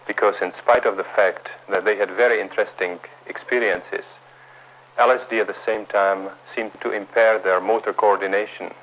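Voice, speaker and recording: Low-voiced male researcher explaining formally. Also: a bit bright. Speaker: research psychiatrist being interviewed. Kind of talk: explanatory interview talk. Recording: faintly noisy old film soundtrack.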